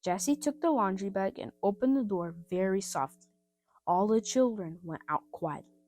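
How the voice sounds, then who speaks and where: A woman reading a scripted children's story aloud in an expressive, simple style, with music behind her. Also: medium pitch, normal speed. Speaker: storybook reader.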